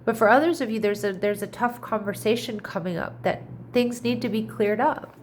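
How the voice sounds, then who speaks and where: A woman's voice: on the high side, moderate pace, a little hiss or hum. A slightly congested woman gives a casual tarot reading to camera, speaking in a chatty, reassuring way with frequent restarts as she thinks aloud. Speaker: tarot reader.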